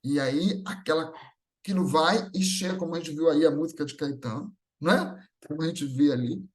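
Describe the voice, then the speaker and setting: Brazilian male lay preacher, high voice, informal and explanatory, peppering the talk with rhetorical questions. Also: normal speed. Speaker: speaker at a Spiritist gathering. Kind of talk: religious talk, conversational and explanatory.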